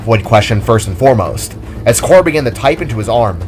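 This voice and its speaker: A man narrating an action story as a dramatic reading, with expressive delivery. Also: medium pitch, fairly quick. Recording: music under the reading.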